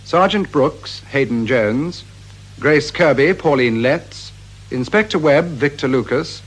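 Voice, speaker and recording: A man's voice: on the high side, expressive, slow pace, husky, poor audio. A man announcing scripted closing credits for a radio play.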